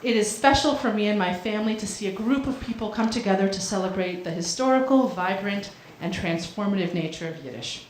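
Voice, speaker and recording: A woman welcomes an audience and reads prepared remarks at a podium. Her pitch sits mid-range, and her voice rings in a roomy hall over a faint background hum. She speaks in a measured way with the odd small slip. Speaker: lecture host giving opening remarks. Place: university lecture hall.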